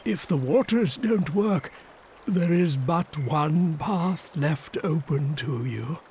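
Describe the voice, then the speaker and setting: An actor playing a grave, courteous old sage in a video game, speaking in solemn, formal phrases. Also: male voice, fairly high pitch, expressive, slow pace, a little mellow, a little hiss or hum. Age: older. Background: game music.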